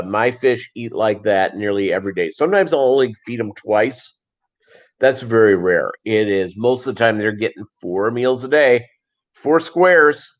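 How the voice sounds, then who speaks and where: A man hosting a casual livestream, chatting and answering viewers in a rambling, halting, conversational way. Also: on the low side. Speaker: livestream host. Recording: dull, lo-fi livestream audio.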